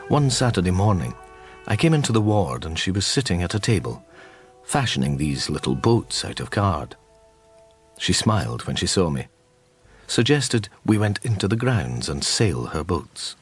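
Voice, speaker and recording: Deep-voiced, gentle psychic detective. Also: male voice, sing-song, husky, faint music behind, heavily acted. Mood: tender, reflective. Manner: measured, tender, wistful. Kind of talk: reflective radio drama narration.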